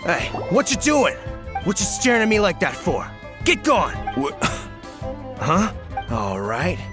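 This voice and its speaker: A shady loiterer in a video game, brusque and defensive. His slangy speech jumps around in pitch, with music clearly behind it. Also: huge pitch swings, takes it slowly, full character voice. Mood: hostile, flustered. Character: shady, jumpy, hostile male loiterer, gruffly defensive. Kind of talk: video game character acting.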